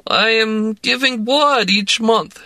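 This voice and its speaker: A man reading an audiobook voices a drunk young Russian actress with a Russian accent, speaking slow broken English in a dramatic, moody way. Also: sits high, pushed and bright, no room sound, voice pushed up, heavily acted. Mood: tipsy. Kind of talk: audiobook character voice.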